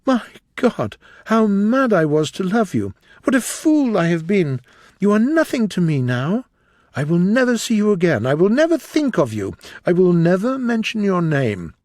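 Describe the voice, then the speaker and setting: Picture a cruel young gentleman, proud and contemptuous, as a man reads him aloud. The voice climbs high and swings widely as he rages. The sound is close and dry. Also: very animated, moderate pace, no echo. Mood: scornful fury. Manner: impassioned, scornful declamation.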